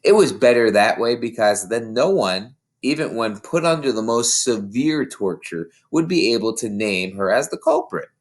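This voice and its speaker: A man reading a children's story aloud in an expressive way, like an audiobook narrator. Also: mid-range voice, moderate pace, few pauses. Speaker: story reader.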